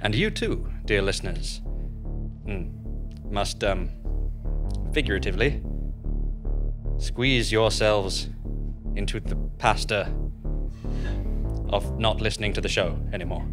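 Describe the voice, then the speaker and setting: A man's voice: fairly low pitch, slow, long pauses. Male narrator-announcer for scripted outros and credits. Solemn, mock-grand, with music.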